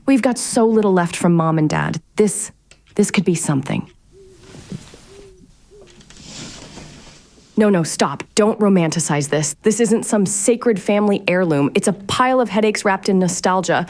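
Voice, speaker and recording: A woman's voice: medium pitch, a little mellow, natural voice. An actress playing a sardonic, curious young woman in a fiction podcast drama, thinking aloud to herself in a naturalistic, exasperated way. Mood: torn, debating. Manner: wry, sarcastic, exasperated muttering to herself. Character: sardonic, curious young woman investigating her late relative's mysterious house. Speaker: lead actor in an audio drama.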